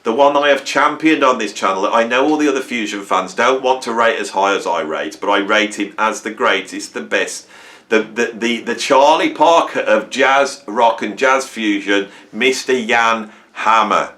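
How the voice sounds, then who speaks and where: Bright-voiced man, rambling fan talk. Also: fairly low pitch, moderate pace. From Britain. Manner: enthusiastic, self-mocking, rambling with restarts. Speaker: music channel host. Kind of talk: casual monologue to camera.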